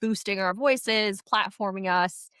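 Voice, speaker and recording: A woman co-hosting a casual podcast chat, chatty and animated, sounding stuffy from a head cold. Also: medium pitch, a little slow, clean tone, no room sound, studio quality. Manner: chatty, animated, laughs often. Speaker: podcast co-host.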